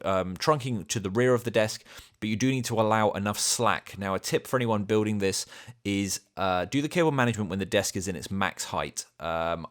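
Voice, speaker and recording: Low-voiced man with a cold. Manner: easygoing, explains features step by step. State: has a cold, voice a bit deeper than usual. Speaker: channel host reviewing a product. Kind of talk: casual product review to camera. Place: home dining room.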